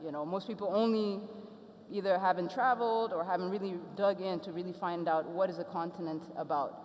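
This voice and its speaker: A woman's voice: lowish voice, fairly even intonation, a little fast, darkish tone. A woman from Ethiopia with an Ethiopian accent, giving an earnest, reflective conference talk with frequent ums and uhs. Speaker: festival speaker. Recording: reverberant hall.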